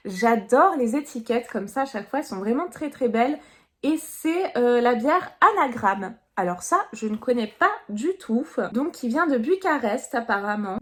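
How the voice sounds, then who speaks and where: Young woman from nice, high voice, chatty unboxing vlog, lots of umms. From Nice, France. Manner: chatty, lots of fillers, upbeat. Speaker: box reviewer. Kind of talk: casual product review.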